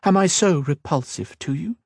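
A man voicing a proud, wary queen who speaks in a haughty, cutting, measured way. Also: a little high, animated, creaky, no echo, clean studio sound, pitched above the performer's own voice. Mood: commanding.

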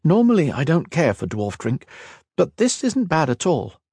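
A man voicing a gentle, calm elf woman in a fantasy audiobook, speaking softly in formal, deliberate phrases. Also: on the high side, slightly rough, no echo, pitched above the performer's own voice, full character voice. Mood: musing. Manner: soft-spoken, deliberate, formal.

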